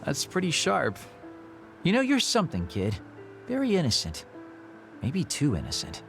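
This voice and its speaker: A man's voice: fairly high pitch, huge pitch swings, moderate pace, vocal fry, exaggerated voice. An actor playing a teasing, world-weary immortal man in a video game cutscene. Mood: playful. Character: burned-out, playful man two thousand years old, hollow inside. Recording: music behind the voice.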